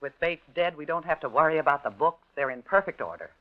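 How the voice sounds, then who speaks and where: Ruthless female partner in crime, American, commanding, animated delivery, 1950s radio sound. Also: low voice, quick pace. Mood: firm, reassuring. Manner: curt, commanding, composed. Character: cold, domineering, scheming woman partner in crime. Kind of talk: 1950s radio drama acting. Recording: dull, lo-fi 1950s radio recording.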